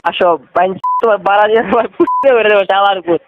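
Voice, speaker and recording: Bangladeshi guy on a muffled phone line, super quick blunt talk, little bit of music under. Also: high voice. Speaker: prank call recipient. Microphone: phone call.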